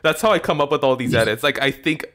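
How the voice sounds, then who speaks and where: A young man streaming from a desk mic, chatting casually and excitably with lots of fillers. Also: fairly high pitch, fast. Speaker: streamer and audio editor. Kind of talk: casual reaction stream chat. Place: indoor room at desk in gaming chair. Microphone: desk microphone on arm.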